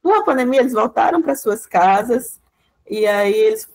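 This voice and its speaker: Chatty woman lecturing, fairly high. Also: lively intonation, moderate pace, darkish tone. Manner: rambling explanation, frequent "né" tags and restarts. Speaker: guest lecturer, project coordinator. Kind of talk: online class talk.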